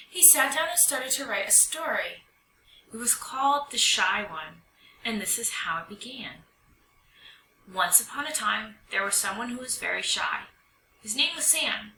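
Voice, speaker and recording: A woman reading a children's picture book aloud in an expressive storytelling manner. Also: on the high side. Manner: expressive, animated storytelling delivery. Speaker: storybook reader. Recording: roomy echo, distant mic, faint noise.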